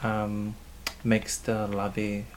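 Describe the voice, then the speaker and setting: New man, cultural leader, fairly low voice, measured and hesitant with ums, faint room hum behind. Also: monotone. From New Zealand. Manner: thoughtful, hesitant, frequent um fillers. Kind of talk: interview answers.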